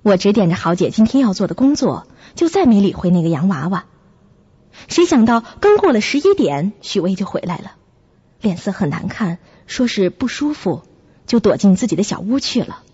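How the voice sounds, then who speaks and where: Radio drama lead: reflective young web editor narrating uneasily actress, very varied melody, slightly dull recording. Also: female voice, medium pitch, big pitch swings, normal speed, mellow, breathy edge, dry recording. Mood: reflective, uneasy. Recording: dull lo-fi audio. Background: music.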